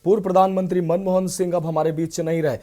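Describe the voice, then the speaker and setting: A man anchoring breaking news, partly ad-libbed, repeating himself in halting phrases. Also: sits high, flat delivery, dark tone. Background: faint music.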